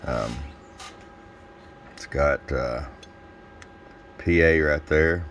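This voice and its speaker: A man with an extremely low, bassy voice presents a casual product demonstration. He explains things informally and without hurry, like a seller walking a customer through an item. Some noise sits behind his voice. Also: very slow, a bit bright.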